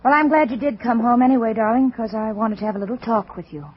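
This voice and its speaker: A woman's voice: fairly high pitch, fast talker, breathy edge. An actress playing a cool, scheming American wife in an old radio suspense drama, coy and sweetly menacing as she mocks and pressures. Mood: sweetly probing. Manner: coy, silky, mocking. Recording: muffled vintage radio recording.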